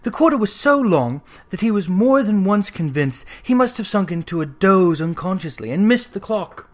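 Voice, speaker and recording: A man narrating a classic Victorian ghost story as an audiobook, in a theatrical, expressive storytelling manner. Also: sits high, moderate pace.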